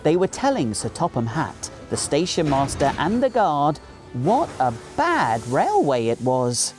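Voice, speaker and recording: Very animated British male storyteller. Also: on the high side, a little slow, faint music behind. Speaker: storybook narrator. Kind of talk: animated children's story reading. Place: small indoor recording studio.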